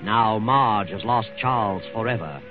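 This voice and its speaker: A man's voice: fairly low pitch, a little slow, close to an everyday voice. Music plays behind a knowing, ironic narrator voiced by a man from an old radio mystery. The moralizing delivery comes through thin, narrow vintage sound.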